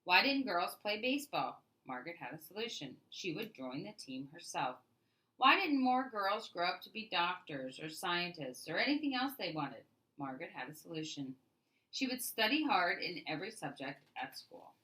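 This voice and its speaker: Bright-voiced woman reading a picture book aloud. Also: on the low side, very animated, moderate pace, poor audio. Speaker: read-aloud storyteller. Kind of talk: expressive children's picture book read-aloud narration.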